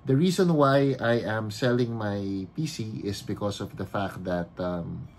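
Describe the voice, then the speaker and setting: A man in his fifties with a Filipino accent, chatting casually in his vlog and mixing Tagalog into his English with frequent pauses and fillers. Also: on the low side, a little hiss or hum. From Philippines. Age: 50s. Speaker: vlogger and card collector.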